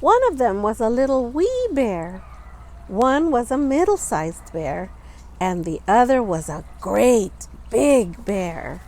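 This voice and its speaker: A woman reading a children's picture book aloud, expressively and deliberately, stressing words for young listeners. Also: a little high, big pitch swings, a little mellow. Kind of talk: children's storybook read-aloud with asides about the pictures.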